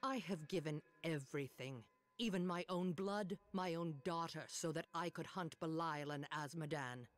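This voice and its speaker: A woman's voice: sits low, normal speed, close to an everyday voice. A woman playing a stern, ruthlessly dedicated older sorceress mother in a video game, with a commanding, intense delivery. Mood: grave, resolute. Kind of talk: dramatic video game acting. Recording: game music behind the voice.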